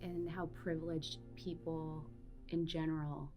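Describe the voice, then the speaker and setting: A woman's voice: low voice, little pitch movement, measured pace. A Cuban American woman from Florida, reflectively remembering her community. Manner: reflective, reminiscing. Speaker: interviewee. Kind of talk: documentary interview. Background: guitar music.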